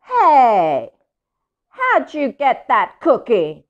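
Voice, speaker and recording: A woman's voice: high voice, huge pitch swings, extremely slow, voice pushed up, full character voice. A woman, a native Spanish speaker, voicing a grumpy, suspicious male storybook pigeon who complains with attitude. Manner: loud, exaggerated complaining. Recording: dull lo-fi audio.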